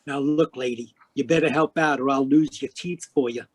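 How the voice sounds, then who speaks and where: A man's voice: medium pitch, steady tone, moderate pace, natural voice. A man playing a tough, wisecracking private investigator in a 1940s-style radio noir, terse, cynical and slangy. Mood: tough, pressing. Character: tough, wisecracking hardboiled private investigator narrating his case.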